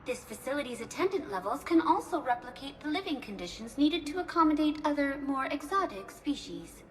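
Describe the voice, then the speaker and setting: A woman's voice: sits high, very animated, a little fast, rough recording. A woman playing a polite, efficient hospital receptionist in a game, speaking formally and precisely. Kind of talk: scripted informational game dialogue.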